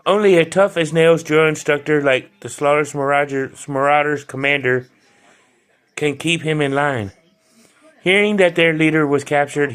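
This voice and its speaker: Brief: man, casual toy reviewer, slightly higher voice, rambling and chatty. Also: a bit bright. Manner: chatty, loose, with frequent restarts and fillers.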